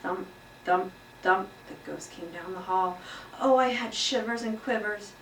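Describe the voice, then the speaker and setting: A woman's voice: medium pitch, unhurried, natural voice. Woman reading as an earnest little classroom hamster, roomy echo. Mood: excited, spooked. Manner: expressive, exclaiming, emphatic read-aloud delivery. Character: small earnest pet hamster telling his classroom story. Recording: roomy echo, distant mic, faint noise.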